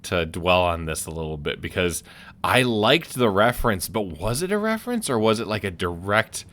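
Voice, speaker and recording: Rambling low-voiced man playing a game. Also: very animated, fairly bright tone. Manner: rambling, halting, thinking aloud with many restarts. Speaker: let's play streamer. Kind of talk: casual gameplay commentary.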